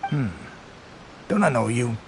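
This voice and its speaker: A man's voice: mid-range voice, expressive, takes it slowly, vocal fry, a little hiss or hum. A man playing a drowsy, laid-back loner soldier in a video game, languid and sighing. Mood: sleepy, content. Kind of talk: video game character dialogue.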